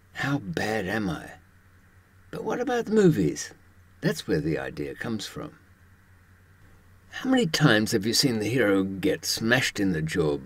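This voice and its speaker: Husky older man, low and animated. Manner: wry, storytelling, with rhetorical questions. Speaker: presenter. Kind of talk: conversational monologue to camera. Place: indoors, in front of a green screen.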